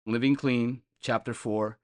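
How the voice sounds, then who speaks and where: A man reads a scripted daily reflection for a podcast. His voice sits at an average male pitch. The recording is dry, with almost no room sound. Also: steady tone, unhurried, clean tone, dry recording. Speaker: guest reader on a recovery podcast. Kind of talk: scripted reading of a daily meditation.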